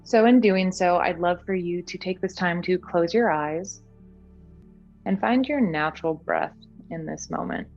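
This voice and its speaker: Woman, lowish pitch, soothing guided meditation, unhurried delivery, slightly dull recording. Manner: gentle, soothing, unhurried instruction. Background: background music.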